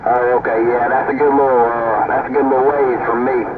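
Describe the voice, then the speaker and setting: A man's voice: medium pitch, little pitch movement, brisk, a loud crowd. A man with a Southern American accent, talking in a folksy, colloquial way as he chats over CB radio. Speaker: CB radio operator. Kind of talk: casual chat over CB radio. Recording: tinny CB radio, loud static.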